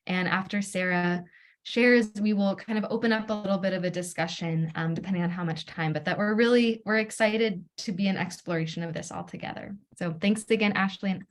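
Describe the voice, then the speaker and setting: A woman's voice: medium pitch, brisk. A woman moderating a video call panel, speaking in a loose, rambling way with fillers as she thanks speakers and hands over. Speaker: event moderator.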